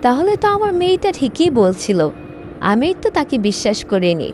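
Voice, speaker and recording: A woman voicing a fretful, sharp-tongued village mother in a cartoon, complaining emphatically. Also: a little high, sing-song, a little fast, slight background noise. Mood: surprised, worried. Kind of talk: cartoon voice acting.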